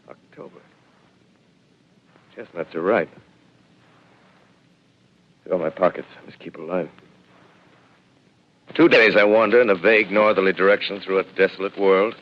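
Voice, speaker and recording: An actor playing a shaken lone astronomer surviving in a deserted land, in a hushed, reflective 1930s radio drama style, on a dull lo-fi old broadcast. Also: male voice, on the low side, lightly acted.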